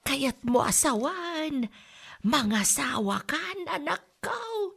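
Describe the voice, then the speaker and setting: A woman's voice: high voice, very animated, slow pace, vocal fry, a bit bright, full character voice. A woman playing a nagging, ailing elderly mother in an Ilocano radio comedy drama, with an Ilocano accent. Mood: prodding. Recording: music under the voice.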